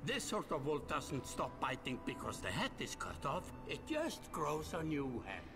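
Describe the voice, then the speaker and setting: Tired grim viking-age city lord, video game cutscene, measured and serious, music clearly playing behind. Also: male voice, on the high side, wildly swooping pitch, full character voice. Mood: bitter, wry. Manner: grave, measured, world-weary. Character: weary, embattled male city ruler of a Norse fantasy realm.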